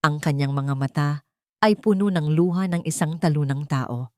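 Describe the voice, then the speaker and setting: Female storyteller, lower register, solemn and deliberate, dry close studio sound. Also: no echo. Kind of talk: dramatic scripted story narration.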